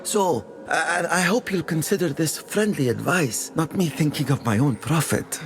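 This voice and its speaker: An actor playing a sly, self-deprecating merchant in a video game, talking in an ingratiating, hesitant way. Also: male voice, fairly high pitch, normal speed, a little hiss or hum, lightly acted. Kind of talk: video game dramatic acting.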